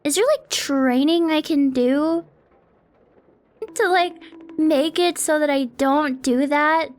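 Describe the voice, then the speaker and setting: High-voiced, unhurried young woman streamer. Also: fairly even intonation. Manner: rambling, self-deprecating, swears casually. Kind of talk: casual stream chat. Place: home apartment streaming setup. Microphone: close desk mic on an arm.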